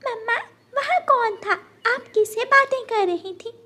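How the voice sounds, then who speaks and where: A woman's voice: very high-pitched, measured pace, pushed and bright, pitched above the performer's own voice, full character voice. A woman voicing a childlike young girl bird, brave and anxious, in a children's cartoon. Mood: anxious, questioning.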